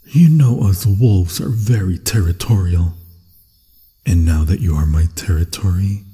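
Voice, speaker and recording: A man's voice: on the low side, gravelly, warm tone, slightly rough, full character voice. A man voicing a hungry, possessive werewolf in an ASMR fantasy roleplay, savoring his words seductively and often slipping into whispers. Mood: seductive, menacing. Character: hungry, possessive male werewolf, menacing yet infatuated. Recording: long cavernous reverb.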